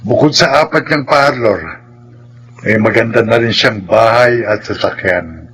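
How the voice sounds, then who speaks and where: An actor playing an easygoing, sympathetic older male relative in a Filipino radio drama, husky and matter-of-fact, with a Filipino accent. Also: mid-range voice, a little slow, fairly bright tone. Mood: casual, approving.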